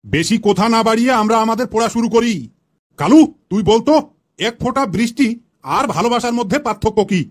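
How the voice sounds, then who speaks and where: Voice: mid-range voice, fairly quick, natural voice. A performer voicing a bossy, questioning schoolteacher in a comedy cartoon. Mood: inquisitive. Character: schoolmaster quizzing cheeky pupils in a comedy cartoon. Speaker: teacher.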